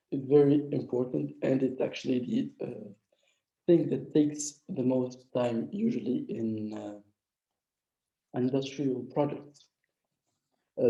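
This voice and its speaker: A man's voice: mid-range voice, warm tone. A man with a mild flu teaching a live online coding lecture, explaining step by step with many filler sounds. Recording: dull lo-fi echoey room.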